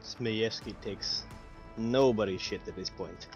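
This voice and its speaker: Lowish-voiced man at a game table. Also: unhurried, dull audio, soft background music. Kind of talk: casual game-table chat.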